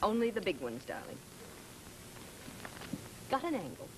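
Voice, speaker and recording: A woman's voice: mid-range voice, sing-song, a little slow, background noise. An actress playing a tough, sassy woman press agent in an old radio drama, snappy and slangy. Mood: sassy, brisk. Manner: snappy, slangy, hard-boiled. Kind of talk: 1940s radio drama acting. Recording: vintage radio recording, music behind.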